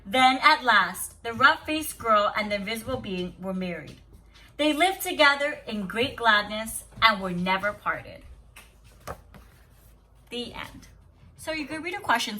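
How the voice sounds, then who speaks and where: A woman teacher reading a story aloud to young children in an expressive, deliberate, instructive way with dramatic pauses. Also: a little high, slight background noise, low-quality recording. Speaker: kindergarten teacher.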